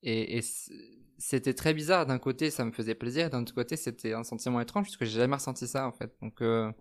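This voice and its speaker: A young man in his twenties from France, a podcast guest, speaking softly and hesitantly as he reflects on personal experience. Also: mid-range voice, a little fast. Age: 20s. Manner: soft-spoken, hesitant, thoughtful.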